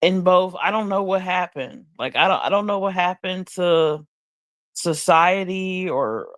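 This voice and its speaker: A woman hosts a late-night stream, speaking slowly and bluntly with plenty of profanity. She is high and drifts through her thoughts with restarts and pauses. The sound is dry and close, with no room around it. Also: no echo. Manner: blunt, profane, slow and musing with frequent restarts. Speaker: livestream host. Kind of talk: late-night casual commentary. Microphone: streaming mic.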